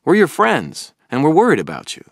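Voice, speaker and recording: A man's voice: fairly low pitch, very animated, a little fast, fairly bright tone, no room sound, clean studio sound, pitched above the performer's own voice. A man voicing an earnest young man who pesters and pleads with his friend in a storybook. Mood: insistent. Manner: insistent, pleading.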